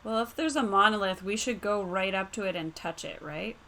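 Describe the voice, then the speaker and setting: A woman's voice: mid-range voice, fairly quick, pushed and bright. A woman playing a tabletop role-playing game, chatting casually in and out of character. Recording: home recording with clear background noise.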